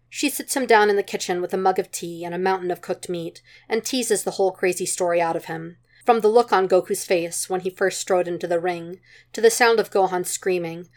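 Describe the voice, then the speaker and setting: A woman reading a story aloud as scripted narration, in the manner of an amateur audiobook. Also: on the low side. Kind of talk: scripted narration of fan fiction.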